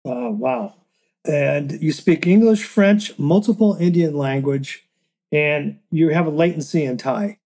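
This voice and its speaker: A man's voice: on the high side, a little slow, a little mellow. A man hosting a podcast, introducing and interviewing a guest. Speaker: podcast host.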